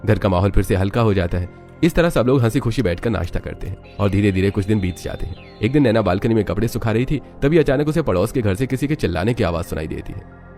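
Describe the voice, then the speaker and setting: A man's voice: lowish voice, animated, fast, warm tone, faint music behind. A man narrating a scripted family story as its storyteller.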